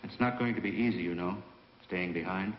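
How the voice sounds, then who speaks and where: An actor playing a composed, authoritative space commander in a dubbed vintage science fiction film. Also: male voice, low voice, brisk. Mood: subdued. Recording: muffled, noisy, echoey old film soundtrack.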